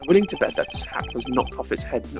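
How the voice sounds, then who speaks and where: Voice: mid-range voice, lively intonation, quick pace, lightly acted. Streamer doing a stuffy proper prosecutor guy from a video game, clipped n haughty, easily annoyed, music behind. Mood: deductive, formal. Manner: formal, precise, clipped and haughty. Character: stern, proper male prosecutor, analytical and easily exasperated.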